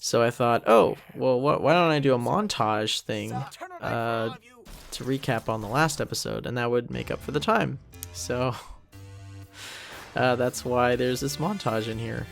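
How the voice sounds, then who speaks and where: A man's voice: medium pitch, lively intonation, unhurried, gravelly, a bit bright. A man giving a casual, rambling commentary track as creator of the series, thinking aloud with music behind him.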